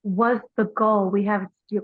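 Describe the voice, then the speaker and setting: A woman's voice: medium pitch, steady tone, dry recording. Woman interviewer on a tinny line. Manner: chatty, halting questions, relating to her own memories. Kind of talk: casual interview questions.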